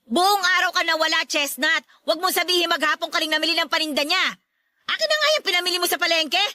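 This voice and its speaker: A woman's voice: very high-pitched, animated, quick pace, bright, forceful tone, no room sound, clean studio sound, heavily acted. A woman playing an angry, nagging middle-aged mother in a Filipino radio drama, harsh and sharply emphatic. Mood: suspicious, nagging. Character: angry, scolding mother who scorns her daughter's boyfriend.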